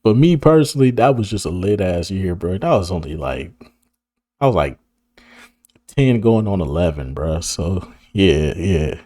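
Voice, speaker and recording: A hoarse man of about thirty, talking casually to camera in a rambling, slang-heavy way and laughing often. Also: sits low, animated, a little slow, dark tone, dry recording. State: hoarse, losing his voice. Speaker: video creator. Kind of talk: casual reminiscing monologue to camera.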